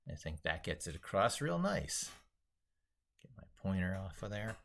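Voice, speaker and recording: A man's voice: low voice, big pitch swings, normal speed, creaky, a bit bright. A man hosting a casual, unscripted livestream, rambling as he thinks aloud. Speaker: livestream host.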